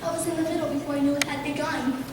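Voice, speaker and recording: A woman's voice: high-pitched, flat delivery, very fast, natural voice. A woman on stage playing a spirited, earnest young bride-to-be in a theatrical manner. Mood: tender, earnest. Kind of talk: amateur stage play acting. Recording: echoey hall, distant mic, noisy.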